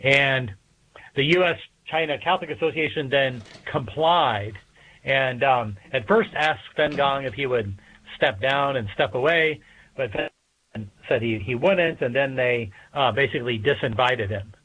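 A man speaking as an expert guest in an interview, explaining in a measured, hesitant way with pauses between phrases. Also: medium pitch, fairly bright tone. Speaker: guest expert, political science professor. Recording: dull lo-fi remote radio connection.

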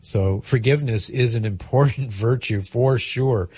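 Husky, nasal man hosting call-in radio. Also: mid-range voice, measured pace, darkish tone, no room sound. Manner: conversational, explains at length with fillers. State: head cold, a little nasal.

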